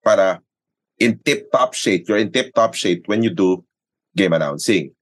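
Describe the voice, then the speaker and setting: A man's voice: on the low side, normal speed. A man with a Filipino accent chatting casually and explaining things emphatically, as a sports announcer being interviewed. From Philippines. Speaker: sports announcer and radio commentator, interview guest. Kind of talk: casual podcast chat, code-switching.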